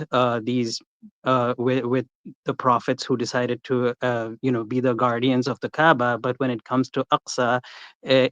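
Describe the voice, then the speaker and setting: A man's voice: medium pitch, a bit bright, dry recording. A man calling in to a live stream, telling his story in a rambling way with many restarts. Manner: rambling, lots of restarts and fillers. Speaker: caller. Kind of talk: casual call-in, personal story. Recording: dull, muffled call audio.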